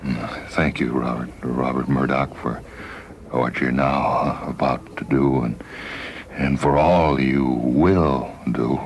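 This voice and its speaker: A man playing a weary, dying spaceman in a radio story, halting and breathy, fading toward whispers. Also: rumbling low, fairly even intonation, a little hiss or hum, voice pushed down, full character voice. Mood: weary, wistful. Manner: halting, repeats words.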